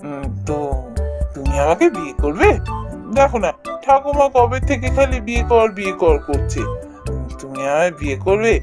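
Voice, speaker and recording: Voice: medium pitch, normal speed, lightly acted. A performer voicing a kind, earnest young village man in a fairy-tale cartoon, speaking expressively. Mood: shy, hopeful. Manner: expressive, earnest storybook delivery. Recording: music behind the voice.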